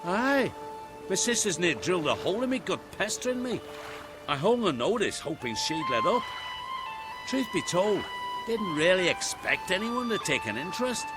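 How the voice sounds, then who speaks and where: An actor playing a plain-spoken, grumbling island villager in a video game, with a rustic, blunt manner. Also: male voice, high-pitched, sing-song, normal speed, over loud music, rough recording. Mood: wry, grumbling. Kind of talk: video game cutscene acting.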